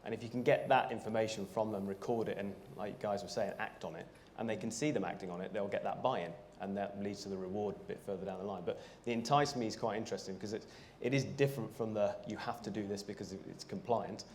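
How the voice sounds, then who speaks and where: A man answering on a conference panel in a brisk, confident way. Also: lowish voice, a little mellow, a little hiss or hum. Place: conference hall.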